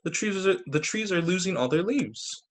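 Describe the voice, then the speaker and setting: A man's voice: sits high, expressive, normal speed, pitched above the performer's own voice. A man voicing an earnest, wide-eyed young bear in a children's storybook, wondering and exclaiming. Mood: worried.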